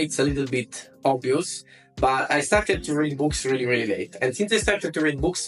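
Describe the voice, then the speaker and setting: A man's voice: medium pitch, fairly bright tone. A young man from Italy with an Italian accent, speaking English as a second language in an earnest self-help vlog, repeating words for emphasis. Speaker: self-development vlogger. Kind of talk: advice monologue to camera.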